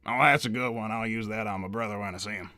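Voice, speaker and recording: A man's voice: lowish voice, fairly even intonation, fast, bright, forceful tone, no room sound, soft background music. A man voicing a genial, graying cartoon hedgehog who rambles hesitantly and apologizes as he is interviewed. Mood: candid. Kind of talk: improvised in-character interview.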